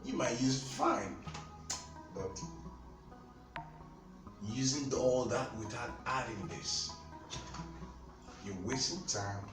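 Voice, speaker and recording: A man with a Nigerian accent talks to camera about skincare, his pitch swinging up and down as he repeats himself. He sounds a little off-mic in a roomy, echoey space. Faint music plays underneath. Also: takes it slowly, pauses often, far from the mic. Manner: animated, repetitive, talks straight to viewers. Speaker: skincare seller. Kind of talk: casual product talk to camera.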